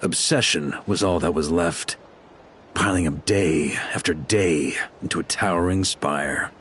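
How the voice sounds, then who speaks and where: A man playing a seasoned knight commander in a video game, measured, stern and dryly sardonic. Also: deep voice, fairly bright tone, slight background noise. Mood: reflective, firm. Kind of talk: dramatic video game voice acting.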